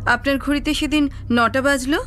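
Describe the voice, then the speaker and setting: Woman acting a high-voiced female detective. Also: fairly even intonation, moderate pace, a bit bright, slight background noise. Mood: coaxing, probing. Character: brisk, friendly, probing female detective. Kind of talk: audio story acting.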